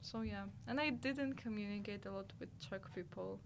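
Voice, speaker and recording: A young woman from Ukraine with a Ukrainian accent, a Russian native speaker, pausing to search for words and laughing often as an interview guest. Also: medium pitch, creaky, noisy background. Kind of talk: casual interview.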